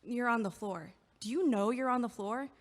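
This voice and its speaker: A woman's voice: a little high, moderate pace, long reverb tail, lightly acted. A woman playing a chatty, friendly neighbor. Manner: warm, chatty, easygoing. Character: friendly female neighbor, a cheerful chatty physical therapist. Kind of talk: audio drama acting.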